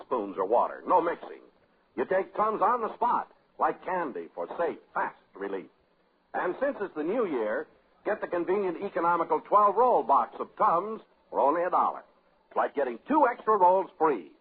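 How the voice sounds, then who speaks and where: A man's voice: a little high, husky. A man reading a scripted 1950s radio commercial as announcer, with an emphatic, salesmanlike delivery. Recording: muffled, narrow old radio recording.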